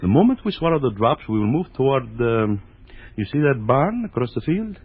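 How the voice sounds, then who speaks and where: An actor playing a scheming, grandiose scientist hungry for world domination, with formal, deliberate phrasing in old-time radio horror style. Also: male voice, medium pitch, sing-song, slightly rough. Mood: coaxing, sly. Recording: dull vintage radio recording.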